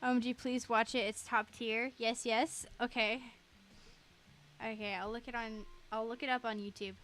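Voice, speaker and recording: A young woman with a fairly high voice hosts a casual game livestream. She talks in a chatty, gushing manner. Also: little pitch movement, moderate pace, a bit bright, a little hiss or hum.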